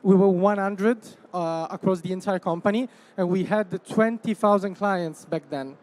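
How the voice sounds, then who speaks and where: A man with a notably high voice answers a question on a conference panel. He talks conversationally, returning again and again to the same filler phrases as he goes. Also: moderate pace, darkish tone. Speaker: company executive on a panel.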